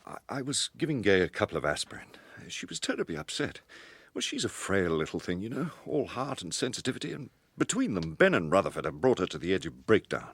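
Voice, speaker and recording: An actor playing an anxious, protective British gentleman in a radio detective drama, speaking in a hesitant, apologetic way. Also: low-pitched, big pitch swings, quick pace, hardly any pauses, breathy edge, no echo, heavily acted. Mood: distressed, halting.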